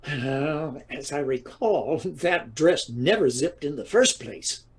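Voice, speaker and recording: A man's voice: fairly high pitch, lively intonation, takes it slowly, breathy edge, full character voice. A man playing a wry, teasing husband of an aging diva in a comedic audio drama.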